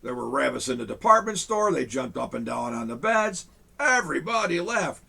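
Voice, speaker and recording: Gravelly man reading a picture book. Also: mid-range voice, normal speed. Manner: chuckling, animated asides to young listeners. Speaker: storyteller in a Santa persona. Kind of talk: reading a picture book aloud with chatty asides.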